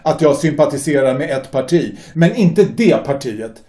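A man's voice: fairly high pitch, animated, mellow, reverberant, lightly acted. A man playing an outraged anti-fascist brother. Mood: indignant. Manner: indignant, emotional. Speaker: Stefan, younger brother. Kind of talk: amateur audio drama acting.

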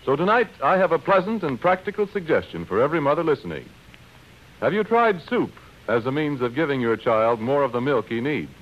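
Lively 1930s radio announcer, a man. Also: mid-range voice, fairly quick. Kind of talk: 1930s scripted radio announcing and sponsor pitch. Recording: muffled 1930s radio broadcast, music.

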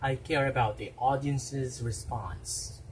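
A middle-aged man from the Philippines with a Filipino accent, excitedly reacting to a music video, laughing and whooping. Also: mid-range voice, slow pace, a little hiss or hum. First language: a Philippine language other than Tagalog. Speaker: reaction vlogger. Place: small room indoors. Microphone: webcam with headphones worn. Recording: distant mic with song playing behind. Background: loud pop music from the video.

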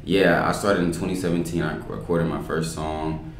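A young man from Kansas City, Kansas, with a very low voice. He talks in a loose, relaxed way full of fillers as a guest rapper on a podcast. The echoey library room can be heard around him, with a faint hum behind. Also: normal speed. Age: young adult. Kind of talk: casual podcast interview. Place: library study room, indoors. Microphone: desktop microphone.

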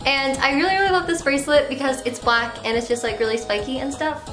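A young woman vlogging casually, rambling and bubbly, a bit sick with a slightly deep voice, recorded by a camera across a small bedroom. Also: quick pace, fairly bright tone, faint music behind. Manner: bubbly, rambling, lots of "like" and "really". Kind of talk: casual haul video. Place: small bedroom with bare walls. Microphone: camera mic from across the room. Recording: roomy echo, voice a bit far from mic.

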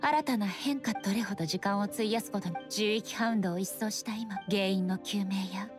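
A woman voicing a solemn, composed female deity and ruler in a video game, speaking formally and calmly. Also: mid-range voice, over music, close to an everyday voice. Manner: formal, measured, calm. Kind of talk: Japanese anime-style game voice acting.

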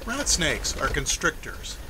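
A man's voice: fairly high pitch, huge pitch swings, a little slow, background noise. A man narrating a nature documentary in an informative, lightly wry way. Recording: narration over loud music. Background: loud music.

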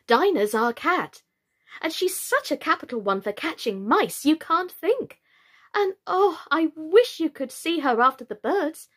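A woman's voice: high voice, very animated, unhurried, a little mellow, voice pushed up. A woman voicing a polite, eager young girl in a storybook, light and girlish, with an earnest, pleading manner. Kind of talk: children's storybook character reading.

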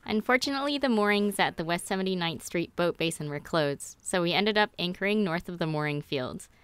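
A woman's voice: lowish voice, a little fast, a bit bright, smooth, no room sound. A woman vlogging from a sailboat, explaining things step by step as she thinks aloud. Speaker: sailing vlogger. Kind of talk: casual on-board explanation and narration. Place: on a sailboat deck on a windy river.

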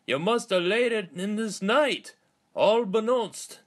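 A man's voice: high voice, takes it slowly, pitched above the performer's own voice. A man playing a sleepy, teasing steam engine with an emphatic drawl in a children's story.

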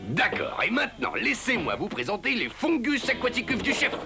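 High-voiced boastful cartoon pig. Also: male voice, huge pitch swings, moderate pace, over music, heavily acted. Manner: pompous, exclamatory. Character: boastful, excitable big male pig, amateur inventor.

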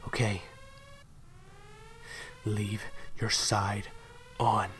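Low-voiced, intense assassin played by a man. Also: level tone, a bit bright, slight background noise. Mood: urgent, protective. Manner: halting, repeating himself, often dropping to urgent whispers. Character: guilt-ridden, protective male assassin, intense and foul-mouthed. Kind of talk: audio roleplay drama addressed to the listener.

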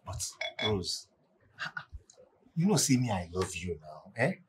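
An actor with a Nigerian accent playing a lecherous, boastful male customer who flatters and pesters a shop attendant. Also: deep voice, takes it slowly, slight background noise, full character voice. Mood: flirtatious. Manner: smooth-talking, leering flattery.

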